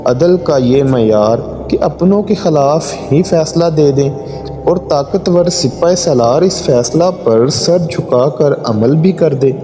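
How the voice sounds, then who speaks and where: A man giving a solemn, measured, dramatic narration of a moral story from a script. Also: a little high, warm tone. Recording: long hall reverb, music underneath. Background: background music.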